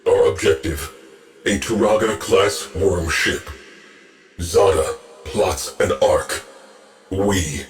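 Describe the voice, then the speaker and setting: A man's voice: deep voice, takes it slowly, pauses often, faint music behind. An actor playing a cold, mournful male ship AI who speaks in terse, clipped reports. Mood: grim. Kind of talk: game voice acting.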